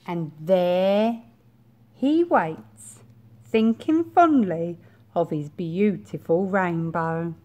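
A young woman reading a picture book to small children, expressive and deliberate, with pauses. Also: fairly low pitch, highs cut off. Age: young adult. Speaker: reception class teacher. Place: small bright school classroom, indoors.

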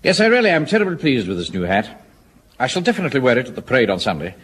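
An actor playing a pompous, blustering middle-aged captain in a radio sitcom. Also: male voice, mid-range voice, huge pitch swings, rapid-fire, a bit bright. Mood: indignant. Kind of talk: 1970s radio sitcom acting. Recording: old radio recording with hiss.

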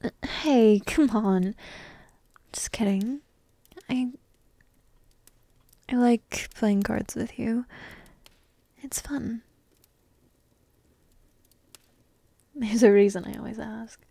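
A woman voicing a petite, playful, secretly affectionate dragon princess in a soft, breathy ASMR roleplay, teasing slowly and often slipping into whispers close to the mic. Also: fairly high pitch, no room sound, exaggerated voice. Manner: slow, teasing, intimate delivery with pauses. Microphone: close microphone in a dry room.

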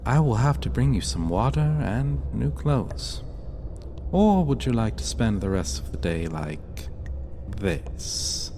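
Man acting as possessive vampire captor, male, unhurried, taunting, commanding, cocky, dark fantasy ASMR roleplay, ambient background noise. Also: medium pitch, big pitch swings. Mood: condescending, menacing. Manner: taunting, commanding, slow and deliberate.

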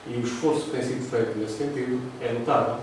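An older man with a European Portuguese accent giving a formal lecture over slides, pausing often with fillers. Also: mid-range voice, steady tone, noisy background. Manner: talks through slides, hesitant fillers. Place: classroom with projector. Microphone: far room camera. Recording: roomy echo from far off.

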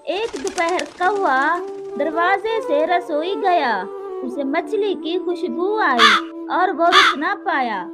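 A woman narrating a children's moral story in a slow storytelling manner, with music behind. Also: very high-pitched. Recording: narration over cartoon music.

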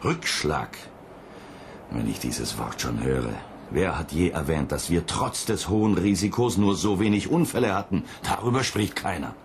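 An actor playing a gruff, brusque, domineering male space mission chief in a radio play. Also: low-pitched, expressive, moderate pace, a little hiss or hum. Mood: irritated, commanding. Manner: brusque, authoritarian. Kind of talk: German radio play acting.

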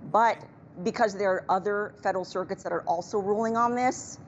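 A middle-aged woman giving measured, explanatory news commentary on a radio show over a muffled, noisy link. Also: mid-range voice. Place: TV news studio, on video link. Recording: muffled, clearly noisy feed.

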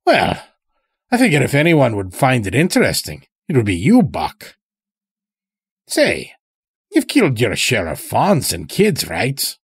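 A man's voice: mid-range voice, wildly swooping pitch, measured pace, long pauses, slightly rough, no echo, clean studio sound, pitched above the performer's own voice, exaggerated voice. A man voicing a folksy, taunting old elder in an audio story, chatty and needling with rustic phrasing. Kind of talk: dramatic audio story character.

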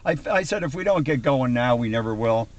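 A man's voice: medium pitch, expressive, a little fast, muffled recording. A man in his seventies chatting casually and ramblingly, with salty language, on a call from inside a car. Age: 70s. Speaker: vlogger on a road trip with old Marine friends. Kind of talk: casual chat on a conference call. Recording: noisy camcorder audio inside a car.

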